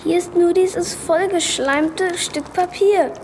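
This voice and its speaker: A naive young boy assistant in a radio play. The voice is extremely high and eager, taking its time over the words. There is clear noise behind it. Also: slow, darkish tone, in a large hall, heavily acted. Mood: puzzled, squeamish. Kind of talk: German audio drama acting.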